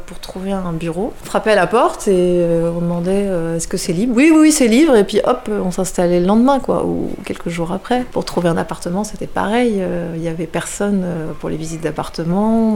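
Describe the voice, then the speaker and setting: A woman's voice: on the low side, creaky, darkish tone, a little hiss or hum. A woman in her forties from France, talking casually and hesitantly about herself as an interviewee. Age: 40s. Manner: hesitant, frequent fillers. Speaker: freelance journalist, interviewee. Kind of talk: casual spoken testimony.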